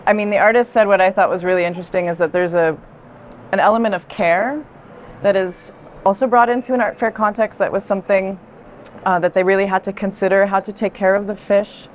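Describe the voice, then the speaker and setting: Female art director, reflective and explanatory, mid-pitched, conversational, busy venue noise behind her. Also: fairly even intonation, fairly quick. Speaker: art institution director on a fair tour. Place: busy art fair hall.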